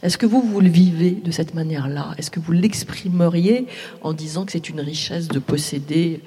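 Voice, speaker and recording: A woman moderating a panel, asking her questions in a measured, formal way. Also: low voice, dark tone, long reverb tail, slight background noise.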